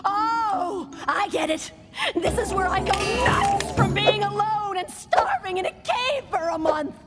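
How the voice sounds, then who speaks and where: Plucky young jungle science gal, super high voice, drawn-out melodramatic delivery, exasperated cartoon style. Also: female voice, sing-song, exaggerated voice. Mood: desperate, unhinged. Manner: melodramatic exclamations and muttering to herself. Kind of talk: animated cartoon comedy acting. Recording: cartoon music behind the voice.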